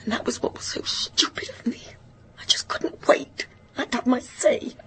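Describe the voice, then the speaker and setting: A woman playing a guilt-ridden, stubborn grown daughter in a radio drama, speaking in a halting, anguished, bitter confession that often drops to a murmur. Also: a bit bright, whispered, close to an everyday voice. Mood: remorseful, agitated.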